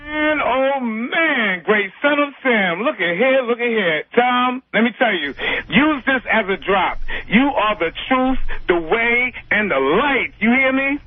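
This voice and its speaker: A man's voice: very high voice, lively intonation, unhurried, phone-quality sound. A man calling a radio show, speaking emphatically in streetwise slang and repeating phrases for emphasis. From New York area, US. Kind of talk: animated call-in testimonial. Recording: dry, band-limited call on radio.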